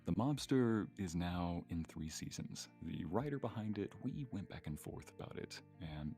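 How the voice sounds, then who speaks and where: A man's voice: low voice, lively intonation, moderate pace, vocal fry, dark tone. A man talking casually and playfully on a live stream, in a chatty way. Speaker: voice actor and guest creator. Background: faint background music with guitar.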